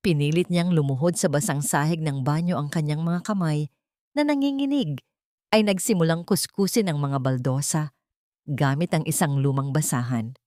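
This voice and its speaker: A woman's voice: sits low, dark tone, no echo, clean studio sound. A woman reading a dramatic story as a scripted narration, with a solemn, ornate storytelling delivery.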